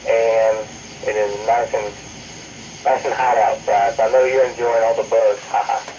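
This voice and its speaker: A man's voice: on the low side, little pitch movement, measured pace, a little mellow. A man chatting casually and upbeat as a ham operator, heard through a two-way radio. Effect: two-way radio transmission. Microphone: radio transmission recorded off the speaker. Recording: noisy radio speaker picked up by phone.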